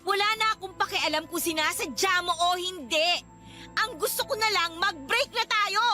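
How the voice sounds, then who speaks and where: A woman's voice: very high-pitched, moderate pace, over music. A woman playing an irritated, cold-hearted girlfriend in a radio drama, snappy and scornful with a boyfriend she is fed up with.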